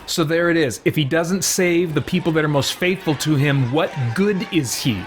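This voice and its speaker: Reflective man, higher voice, over game noise. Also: normal speed. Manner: reflective, chatty. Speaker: let's play host. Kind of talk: casual philosophical game commentary. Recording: noisy, with game crowd sounds behind. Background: video game ambience and crowd noise.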